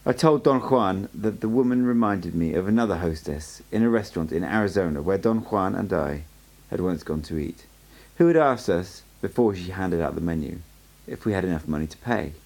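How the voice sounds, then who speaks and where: A man reading an audiobook aloud as an amateur narrator, in a steady storytelling way. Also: low-pitched, a little fast, creaky, hardly any pauses, low-quality recording. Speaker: audiobook reader.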